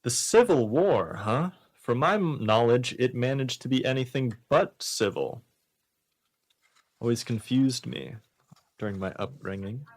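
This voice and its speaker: A man's voice: medium pitch, lively intonation, a little slow. A man hosting a comedy radio show, reading out one-liner jokes and bantering casually.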